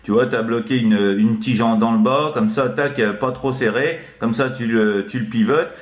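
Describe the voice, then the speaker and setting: A man chatting casually as a CB radio operator, halting and repetitive. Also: mid-range voice. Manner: halting, repetitive, full of verbal tics. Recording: roomy, echoing room sound.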